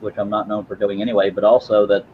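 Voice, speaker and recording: A man's voice: lowish voice, level tone, fast talker, narrow, tinny audio, a little hiss or hum. A man hosting a woodworking live stream, chatting casually as he works, rambling and self-deprecating, often repeating his words. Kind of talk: casual live-stream chat while working.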